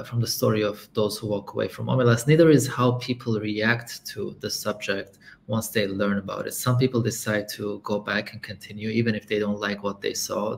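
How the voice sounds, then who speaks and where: Low gravelly man from Lebanon. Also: a little hiss or hum. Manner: calm, earnest storytelling with frequent ums. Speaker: guest speaker. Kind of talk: online talk with slides. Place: home room with bookshelves. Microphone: video call webcam mic.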